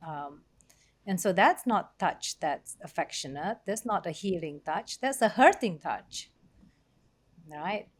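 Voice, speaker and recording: A podcast co-host from Indonesia, talking hesitantly with frequent fillers. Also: male voice, high voice, expressive, takes it slowly. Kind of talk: casual podcast conversation.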